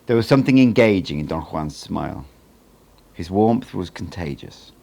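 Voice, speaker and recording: A man reading a book aloud as an amateur audiobook narrator, in an expressive storytelling style. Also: low-pitched, moderate pace, creaky.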